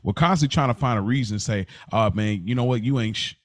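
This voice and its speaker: An American man co-hosting a casual podcast discussion, thinking aloud in a halting way and circling back to rephrase. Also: on the low side, no echo, clean studio sound. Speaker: podcast co-host.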